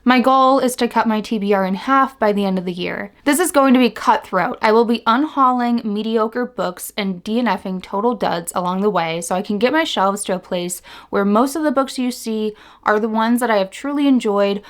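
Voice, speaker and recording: A young woman reads a scripted voice-over intro in a measured, earnest tone. She speaks evenly and deliberately. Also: on the high side.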